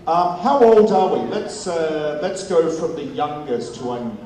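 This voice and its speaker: A man's voice: fairly high pitch, a little slow, dark tone. A man in his forties hosting a live question-and-answer session with an audience after a stage show, jovial and repeating answers back. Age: 40s. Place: performance venue before a live audience. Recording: very echoey venue, distant mic, crowd noise.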